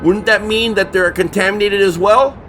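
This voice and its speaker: A man's voice: high voice, steady tone, moderate pace, a bit bright, soft background music. A man acting a cranky, sarcastic brother in a comedy horror audio drama, sounding exasperated.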